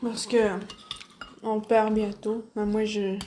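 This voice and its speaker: A woman's voice: medium pitch, level tone, slow, dark tone, a little hiss or hum. A young woman with a Quebec French accent, answering interview questions hesitantly and searching for words. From Innu community, Quebec. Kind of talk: casual interview.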